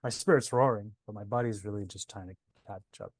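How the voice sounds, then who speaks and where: A man's voice: fairly low pitch, a little fast, darkish tone, husky, no room sound. A man in his twenties from Nepal and Canada, telling his personal story in a conversational interview. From born in Nepal, moved to Canada at seven. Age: 20s. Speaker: interview guest, breathwork teacher.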